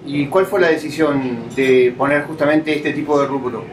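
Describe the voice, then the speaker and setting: Interviewer, friendly and steady, slightly high voice, echoey room with noise. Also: male voice, moderate pace. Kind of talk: casual interview.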